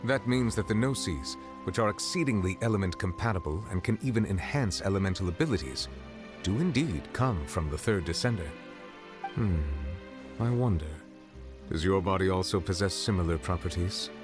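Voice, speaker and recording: A stately chief justice speaks in a very low, formal and deliberate voice. Each word is weighed with care. Faint music plays underneath. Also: male voice, lively intonation. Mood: measured. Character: dignified male chief justice, measured and formal. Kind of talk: game dialogue. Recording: game dialogue with faint music behind.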